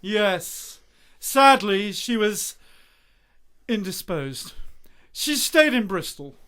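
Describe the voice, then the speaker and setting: Man acting a lively, charming young poet. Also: high voice, drawn-out words, clean tone, full character voice. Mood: reserved. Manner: courteous, theatrical, flowery. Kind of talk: radio play period acting.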